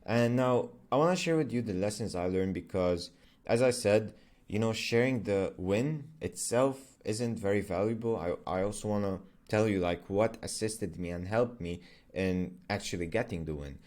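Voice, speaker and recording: A young man with a low, deep voice, speaking English as an Arabic native speaker. He talks in a loose, conversational vlog manner, full of fillers and quick self-corrections. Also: a little mellow. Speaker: agency founder vlogger. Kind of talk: casual explainer vlog.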